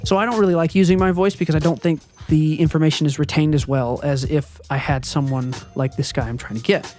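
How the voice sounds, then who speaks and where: A man with a high, boyish-sounding voice records a casual voiceover update. He wanders from point to point, pausing on ums and correcting himself mid-sentence, with soft music playing faintly behind him. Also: steady tone. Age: adult. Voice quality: high-pitched, youthful-sounding voice. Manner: rambling, hesitant, many ums and self-corrections. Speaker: channel owner and video editor. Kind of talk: casual channel update monologue. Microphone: voiceover recorded before the gameplay footage.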